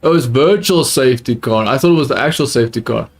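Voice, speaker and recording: A young man, not a native English speaker, with an accent close to British, reacting live to a race on stream in a casual, excitable way that often repeats itself. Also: mid-range voice, quick pace. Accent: close to British, not fully. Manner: excitable, repeats himself, calls viewers dude. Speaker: livestream host watching a race.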